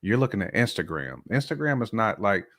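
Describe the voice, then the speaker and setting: A man's voice: on the low side, very animated, a little fast, a bit bright. An American man co-hosting a casual, opinionated chat, speaking bluntly in slang and repeating phrases for emphasis. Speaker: podcast co-host.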